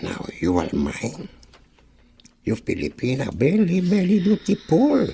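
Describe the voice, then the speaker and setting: An actor playing a leering, menacing foreign male employer in a radio drama, with a gravelly, drawling voice. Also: on the high side, huge pitch swings, takes it slowly, warm tone, slight background noise, heavily acted.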